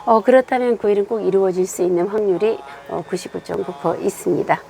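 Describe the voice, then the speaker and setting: Hoarse woman giving a tarot reading. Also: medium pitch, warm tone. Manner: unhurried, many filler sounds. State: hoarse from a cold, coughing. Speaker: tarot reader. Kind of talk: monthly tarot fortune reading.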